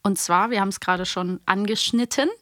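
A young woman chats as a podcast co-host in an informal, interjecting way. Her voice sits at a medium pitch. The studio sound is dry, with very little room around it. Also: a little fast, fairly bright tone, no echo. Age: young adult. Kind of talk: casual podcast chat.

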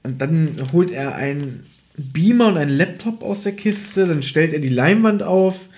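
Higher-voiced man hosting a casual podcast. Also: expressive, unhurried, a little mellow. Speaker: podcast host reviewing audio dramas. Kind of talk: casual chat, retelling and critique.

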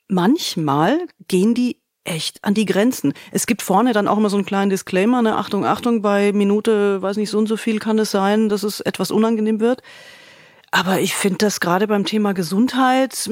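A woman's voice: mid-range voice, dry recording, studio quality. A woman chatting spontaneously as a podcast co-host, in an emphatic, opinionated, colloquial way. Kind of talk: spontaneous conversational review.

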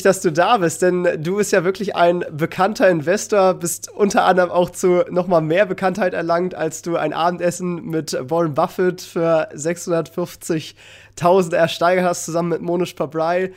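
A man's voice: sits high, little pitch movement, moderate pace. A man hosting a podcast interview, asking questions in a friendly way with frequent fillers. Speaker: podcast host. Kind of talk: casual interview.

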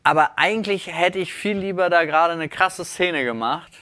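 A man co-hosting a casual podcast chat, telling everyday stories in an animated way that climbs high when he vents. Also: normal speed, no room sound. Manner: animated storyteller, rises sharply when venting. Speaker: podcast co-host.

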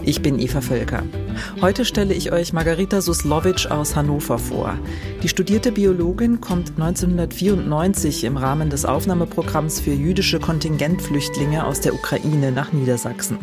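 A woman hosting a short documentary format, reading scripted narration in an even, measured way. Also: sits low, over music. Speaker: host, narrator.

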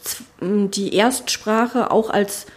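A woman's voice: mid-range voice, fairly even intonation, slow. A middle-aged woman, a politician, talking casually in an interview, thinking aloud with many fillers and restarts. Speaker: politician, spokesperson for family and education. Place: her constituency office.

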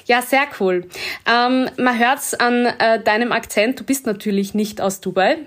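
A woman's voice: a little high, unhurried. A woman hosting a podcast and interviewing a guest over a video call, chatty and enthusiastic. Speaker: podcast host.

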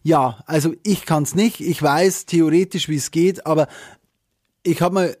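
A man's voice: on the high side, a little slow, a little mellow, dry recording, studio quality. A man co-hosting a podcast who speaks with a regional dialect, chatty and joking, often laughing and using filler sounds. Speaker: podcast co-host, wedding speaker and teacher. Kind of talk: casual podcast chat.

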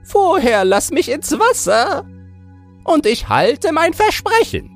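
Very high magical talking fish. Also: male voice, big pitch swings, faint music behind, heavily acted. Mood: pleading. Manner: measured, solemn, formal storybook phrasing. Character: magical talking pike, high-pitched, insistent, solemn promiser. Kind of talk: fairy-tale audiobook character voice.

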